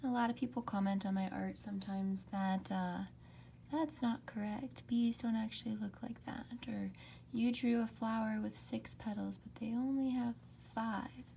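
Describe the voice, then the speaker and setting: A young woman hosting a calm ASMR painting tutorial, speaking softly and often slipping into whispers. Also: on the high side, creaky, slight background noise. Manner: soft, often whispered, unhurried. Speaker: art tutorial host. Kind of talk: soft-spoken ASMR drawing tutorial.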